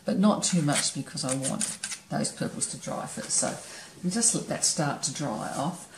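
Low, gravelly woman teaching painting, relaxed, thinking aloud, echoey studio room. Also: a little slow, slight background noise. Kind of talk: painting demonstration narration. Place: studio table, camera overhead.

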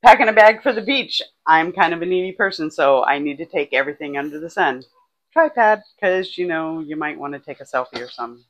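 A woman's voice: low-pitched, expressive, brisk, rough recording. A woman in her sixties chatting casually and listing items for a vlog, recorded indoors on a clip-on mic with some background noise. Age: 60s. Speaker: vlog co-host. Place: indoors in a small home kitchen and bedroom. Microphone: clip-on lapel mic.

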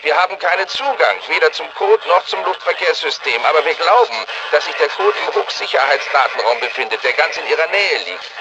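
A man's voice: fairly high pitch, expressive, brisk, gravelly. A man voicing a formal, precise scientist giving a briefing over radio in a German video game dub. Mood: earnest briefing. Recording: muffled, noisy radio transmission.

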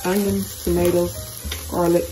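A woman's voice: lowish voice, level tone, moderate pace, over music, low-quality recording. Chatty woman cooking at home. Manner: chatty, talks straight to viewers, restarts and corrects herself. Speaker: cooking channel host. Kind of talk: casual cooking tutorial. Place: home kitchen.

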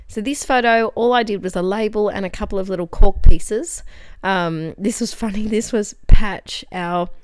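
A young Australian woman with a hoarse, croaky voice, chatting casually over her scrapbooking video with lots of fillers. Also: medium pitch, no echo. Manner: chatty, friendly, tangents and fillers. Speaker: scrapbooking channel host. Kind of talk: voice-over for a craft process video. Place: home craft desk.

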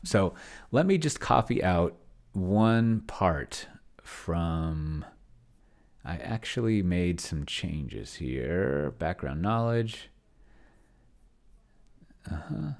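A middle-aged man with a very low-pitched voice and a lot of creak in it. He talks off the cuff like a streamer at his desk, rambling, thinking out loud and letting phrases sink into a mumble. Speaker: host of a tabletop roleplaying experiment stream. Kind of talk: casual, unscripted livestream commentary.

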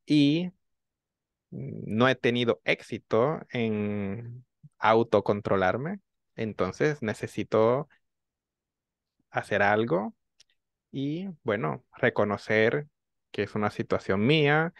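A man in his thirties, a student in an online language class, speaking slowly and reflectively into a desk microphone in a small room. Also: medium pitch, animated, creaky, dry recording. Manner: slow, reflective, measured. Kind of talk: online language lesson chat. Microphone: desk mic on boom arm.

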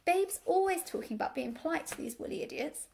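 A woman's voice: sits high, animated, brisk, vocal fry, a little mellow, slight background noise, pitched above the performer's own voice. A woman voicing a proud, exasperated old female sheepdog with a commanding manner in an animal storybook. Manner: barking, commanding, strained politeness.

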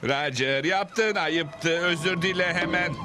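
A man's voice: a little high, slow pace. A man playing a naive, childlike outlaw in a dubbed western cartoon. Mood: earnest. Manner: naive, playful, earnest. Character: childlike, dim outlaw with an invisible ghost friend. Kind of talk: animated cartoon dubbing.

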